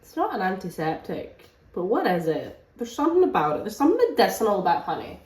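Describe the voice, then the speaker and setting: A woman's voice: medium pitch, sing-song, fast, a little mellow. A woman vlogging at home in a casual, rambling way, with a stuffy cold. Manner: chatty, rambling with many fillers. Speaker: book vlogger. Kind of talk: casual vlog chat. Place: home kitchen. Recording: echoey room, mic at a distance.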